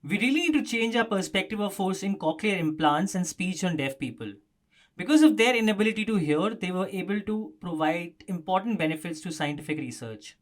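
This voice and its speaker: A man presenting a scripted explanatory news story as a narrator. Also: sits high.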